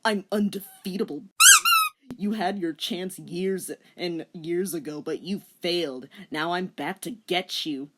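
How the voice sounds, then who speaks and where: A woman's voice: fairly low pitch, a little slow, slight background noise, natural voice. A woman voicing an ominous, boastful villain father back from the dead in a comic dramatic reading. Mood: menacing. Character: menacing villainous undead father of the heroine.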